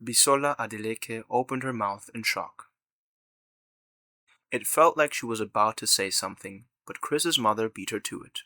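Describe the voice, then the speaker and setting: A man's voice: lowish voice, moderate pace, pushed and bright, no room sound, clean studio sound. A man reading scripted narration for an audio drama as its narrator.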